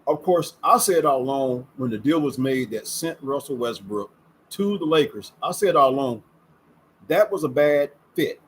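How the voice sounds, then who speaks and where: A man's voice: mid-range voice, lively intonation, normal speed, a little hiss or hum, low-quality recording. A man in his fifties hosting a casual sports talk show, chatty and folksy, leaning on words for emphasis. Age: 50s. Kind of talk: casual sports commentary vlog. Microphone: camera setup with ring light.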